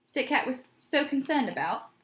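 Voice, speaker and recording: A woman narrates a children's story in a high voice. Her reading is very animated and expressive, and she pitches questions to young listeners like a teacher. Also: moderate pace.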